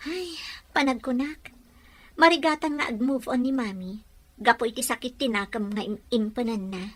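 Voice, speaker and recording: A woman's voice: a little high, moderate pace, close to an everyday voice. A woman with a Filipino accent acting a sincere, devoted young bride-to-be in an amateur audio drama. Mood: concerned. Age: young adult.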